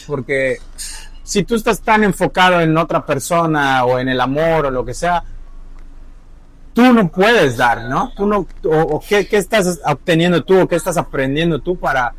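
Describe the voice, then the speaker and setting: A man's voice: fairly high pitch, fairly bright tone. A Mexican man with a Mexican accent who hosts a podcast, chatting casually and agreeably. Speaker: podcast host. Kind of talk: casual conversation.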